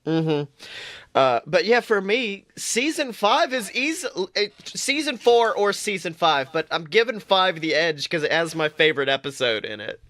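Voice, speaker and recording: A man with a bright, slightly high voice chats on his podcast, animated and quick to swear and laugh. The sound is dry and close, from a studio mic. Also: no room sound. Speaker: podcast co-host. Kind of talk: casual chat.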